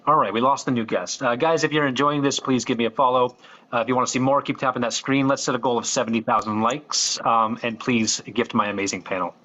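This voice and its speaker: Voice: medium pitch, fairly even intonation, quick pace, sharp tone, highs cut off. A live stream host, laid-back and joking, asking viewers for follows and likes. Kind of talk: casual live stream hosting.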